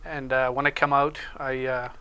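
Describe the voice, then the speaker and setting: Welsh man with a bright, smooth voice. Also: medium pitch, normal speed. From Wales. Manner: relaxed, hesitant with many uh fillers. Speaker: show co-host and security instructor. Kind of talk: casual interview chat.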